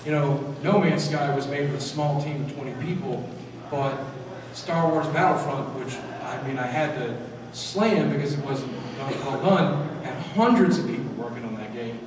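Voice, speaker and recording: A man's voice: medium pitch, far from the mic. Man in an echoey convention hall. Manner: energetic, talks straight to the crowd with quick restarts. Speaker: panel guest speaker. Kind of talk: convention panel talk to a live audience. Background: hall ambience noise.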